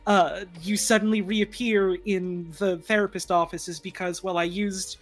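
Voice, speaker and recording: A fandub performer chatting casually on a livestream in a halting, rambling way, animatedly quoting their own thoughts. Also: mid-range voice, steady tone. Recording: music playing behind the voice. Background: background music.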